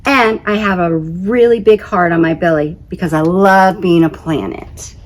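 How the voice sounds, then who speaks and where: A woman voicing a small, excitable, indignant dwarf planet in a children's picture-book read-aloud, chatty and exclamatory. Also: fairly low pitch, moderate pace, lightly acted. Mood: boastful, chatty. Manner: chatty, exclamatory, self-conscious.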